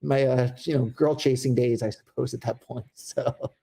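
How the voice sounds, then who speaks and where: Gravelly American man in a relaxed interview. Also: mid-range voice, normal speed. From United States. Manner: candid, rambling, with fillers and restarts. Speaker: guest. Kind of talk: casual interview talk.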